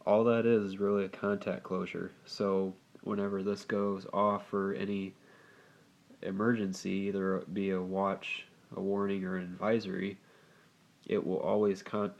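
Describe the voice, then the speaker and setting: A man's voice: on the low side, vocal fry, darkish tone, muffled recording. A man giving an informal, unscripted how-to demonstration as a hobbyist video host, explaining somewhat hesitantly as he goes. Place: indoors at home. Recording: faint background noise.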